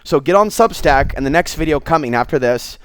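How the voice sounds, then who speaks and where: Middle aged american guy rambling to his viewers, smooth clear voice, really dry sound, kinda confiding. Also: fairly high pitch, expressive, a little fast, dry recording. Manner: candid, confiding, digressive. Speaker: host of his own online show. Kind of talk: unscripted rambling monologue to viewers.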